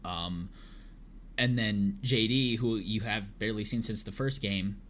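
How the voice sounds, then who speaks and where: Low-voiced man rambling on a podcast. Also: fairly even intonation, measured pace, fairly bright tone, a little hiss or hum. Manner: rambling retelling with many fillers. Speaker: podcast co-host. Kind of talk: casual chat.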